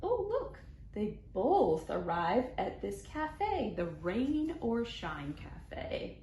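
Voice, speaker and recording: In an echoey room with some background noise, a woman hosts storytime for small children. She speaks slowly in simple phrases, with an expressive, animated read-aloud delivery. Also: mid-range voice. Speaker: children's library storytime host.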